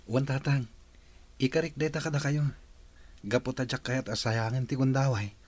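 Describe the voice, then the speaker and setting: A man's voice: mid-range voice, fairly even intonation, normal speed, darkish tone, close to an everyday voice. A man playing a dutiful, hurt young student son in an audio drama, respectful and plaintive.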